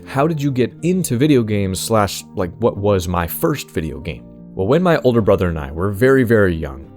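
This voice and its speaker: A young man from Northern California with a fairly low voice talks in a laid-back, conversational way to his gaming channel's viewers. A soft guitar plays faintly behind him. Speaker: video game channel host. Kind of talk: casual channel update and Q&A.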